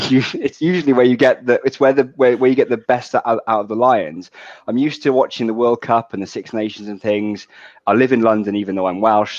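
A young man chatting casually as a podcast guest over a video call. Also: on the low side. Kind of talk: casual chat. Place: indoor room at home on a video call. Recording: slightly dull video-call audio.

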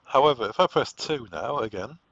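A man giving an informal software setup walk-through, explaining conversationally and thinking aloud as he goes. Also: mid-range voice, animated, brisk, no room sound. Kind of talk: informal software tutorial narration. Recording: dull, lo-fi, dry close recording.

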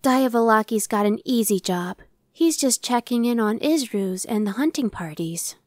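Female demon searcher, played by a woman: impatient, dismissive, conversational ASMR roleplay, slightly high pitch, very dry sound. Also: expressive, moderate pace, a little mellow, no room sound, close to an everyday voice. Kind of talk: ASMR roleplay, one-sided dialogue.

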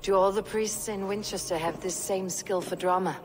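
A woman playing a proud, blunt young Viking warrior in a video game, in a low, husky, measured voice with a dry challenge. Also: steady tone, noisy background, natural voice. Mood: sardonic. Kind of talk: video game cutscene acting.

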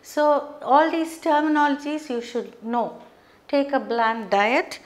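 A middle-aged woman teaching a beginners' lesson at a whiteboard, explaining terms slowly and checking in with frequent "okay". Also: sits high, fairly even intonation. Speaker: English teacher. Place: studio classroom with whiteboard.

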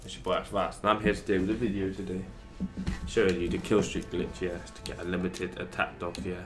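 Low, flat-voiced young gamer. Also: male voice, a little fast, music in the background. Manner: rambling, loose, swears casually. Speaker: gaming YouTuber. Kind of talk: casual voice-over commentary.

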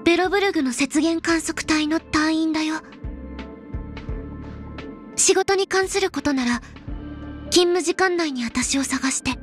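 A woman voicing an aloof young female snowfield explorer in a game, speaking low-key and softly deadpan. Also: a little slow, long pauses, faint music behind. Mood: laconic. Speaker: game character voice. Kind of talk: game voice lines, Japanese dub.

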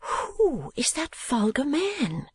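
A performer voicing a gentle, anxious young lady from a Victorian novel in soft, breathy, urging tones. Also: high voice, wildly swooping pitch, a little slow, no room sound, studio quality, pitched above the performer's own voice, exaggerated voice. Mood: whispering, anxious.

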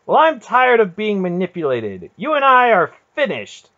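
Man doing an upset teen boy in an audiobook, high strained voice, defiant n indignant, slightly muffled audio. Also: wildly swooping pitch, moderate pace, bright, forceful tone, exaggerated voice. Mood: furious. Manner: protesting, heated, strained. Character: impassioned, indignant adolescent boy, defiant and hurt. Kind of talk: dramatic audiobook character voice.

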